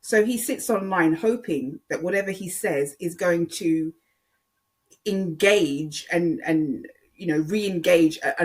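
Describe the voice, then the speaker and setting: Casual online commentator, mid-pitched, rambling and hesitant delivery with emphatic repetition. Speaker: online video creator.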